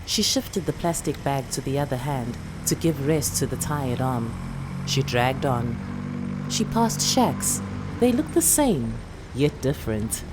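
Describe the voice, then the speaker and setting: A woman with a South African accent reading a literary short story in a dramatic, deliberate, expressive way. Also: rumbling low, noisy background.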